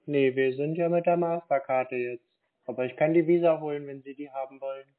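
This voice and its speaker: A man making a prank phone call as a naive victim, giving terse, plodding, deadpan answers. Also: medium pitch, a little fast. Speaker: caller posing as a naive victim. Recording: tinny phone line.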